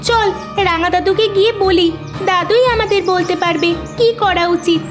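A woman's voice: very high-pitched, steady tone, normal speed, music in the background. A woman voicing an earnest, kind-hearted little child in a children's cartoon. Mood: worried.

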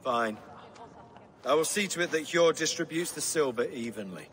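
An actor playing a blunt, commanding, hot-tempered medieval lord in a video game. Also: male voice, fairly high pitch, expressive, moderate pace, a bit bright, long reverb tail. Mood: gruff, formal. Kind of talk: dramatic game cutscene acting.

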